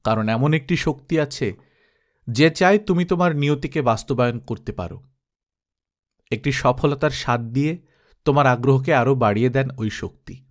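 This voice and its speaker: A man voicing a wise old king who teaches calmly in an audiobook. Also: mid-range voice, moderate pace, long pauses, close to an everyday voice. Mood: calm assurance. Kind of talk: audiobook dialogue.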